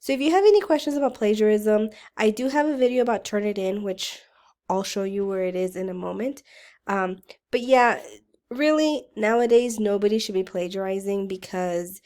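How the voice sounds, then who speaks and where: A woman college writing instructor, still getting over a cold, explains how to navigate her course in a relaxed, conversational way. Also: mid-range voice, normal speed. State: voice rough from a recent cold. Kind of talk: recorded course walkthrough lecture.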